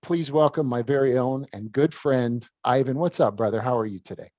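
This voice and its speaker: A man's voice: medium pitch, moderate pace, a little mellow, dry recording, muffled recording. A man hosting a podcast, introducing and questioning his guest in a laid-back, friendly way. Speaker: podcast host. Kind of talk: casual interview.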